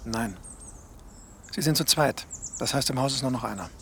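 A man's voice: medium pitch, moderate pace, vocal fry, fairly bright tone, slight background noise. A man playing a sober, sceptical hacker with a questioning, matter-of-fact manner in a German radio drama. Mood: focused.

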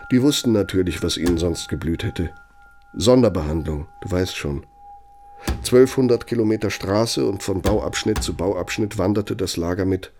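An actor playing a cynical, bitter middle-aged architect and old Nazi functionary in a German radio play, gravelly, with a pointed, self-justifying delivery. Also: male voice, sits low, normal speed, darkish tone, soft background music. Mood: grave, confronting. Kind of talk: German radio play acting, dramatic monologue.

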